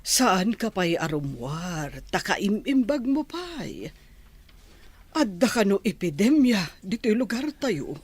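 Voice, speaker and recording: A woman's voice: medium pitch, sing-song, slow, darkish tone, breathy edge, exaggerated voice. A woman playing a concerned, insistent middle-aged village mother in an Ilocano radio drama. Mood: caring.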